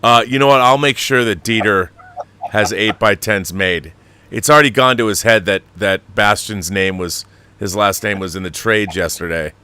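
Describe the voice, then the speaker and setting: A man's voice: on the low side, moderate pace, sharp tone, smooth. A man hosting a casual livestream chat, talking in an effusive, enthusiastic way about his projects. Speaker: show host and producer.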